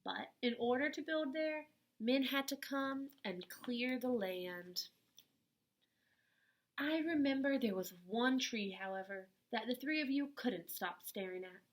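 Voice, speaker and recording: Slow-paced woman reading a children's story. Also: a little high. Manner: expressive, deliberate phrasing addressed to young children. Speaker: teacher reading a picture book aloud to children. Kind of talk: children's story read-aloud.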